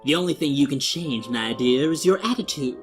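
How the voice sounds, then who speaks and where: A man voicing a menacing, teasing spider in a dramatic storybook reading, with a slow, sly drawl and a husky voice. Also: mid-range voice, expressive, soft background music, close to an everyday voice.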